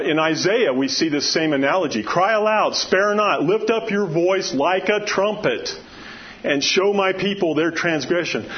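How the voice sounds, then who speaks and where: High-pitched man preaching, loud and informal. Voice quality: loud, carrying voice. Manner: conversational, emphatic, poses questions to listeners. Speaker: preacher teaching a Bible study. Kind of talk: informal sermon with scripture readings and personal asides. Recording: dull lo-fi sound, clear background noise.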